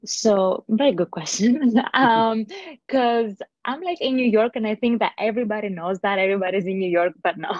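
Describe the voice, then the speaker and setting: A woman's voice: medium pitch, normal speed, no room sound. Woman on a muffled call. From Greece. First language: Greek. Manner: friendly, many ums and likes. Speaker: guest, language school founder. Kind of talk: casual interview chat.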